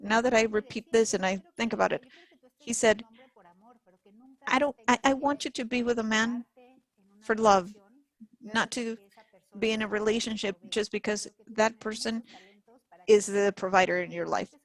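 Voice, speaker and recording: A woman interpreting forum talks, speaking in short halting phrases. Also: a little high, steady tone, low-quality recording.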